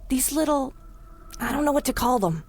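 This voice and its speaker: An actress playing a wry, loyal, worried woman in a 1930s-style adventure radio drama, with slangy, expressive delivery. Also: high voice, moderate pace, slight background noise. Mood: thoughtful.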